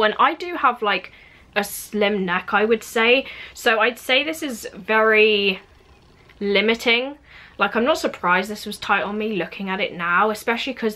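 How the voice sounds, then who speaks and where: A woman's voice: medium pitch, bright, forceful tone. A young woman in her twenties, just over a cold, chatting casually through a clothing haul review in her bedroom, with many "like" fillers. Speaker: fashion vlogger. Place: bedroom at home, indoors.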